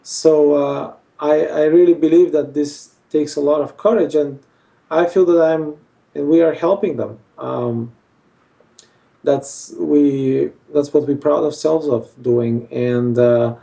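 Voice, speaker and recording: Man, surgeon, gravelly, mellow tone, hesitant with fillers, far from mic. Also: on the high side, steady tone, slow pace. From Bulgaria. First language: Armenian. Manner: hesitant, many fillers and restarts. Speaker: facial plastic surgeon. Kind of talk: interview answers.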